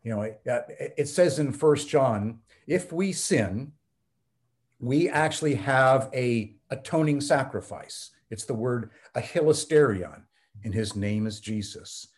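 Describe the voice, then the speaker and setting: A man's voice: medium pitch, expressive, measured pace, a little mellow. A man who is a theology professor and pastor, guest on a podcast, explaining ideas in an earnest teaching tone with frequent ums. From United States. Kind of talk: conversational podcast interview.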